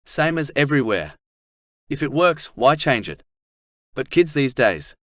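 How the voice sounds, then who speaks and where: A man's voice: medium pitch, sing-song, unhurried, a bit bright, dry recording, clean studio sound, voice pushed up. A man reading an audiobook, voicing a grinning, chatty, folksy mailman in a raised voice. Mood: friendly.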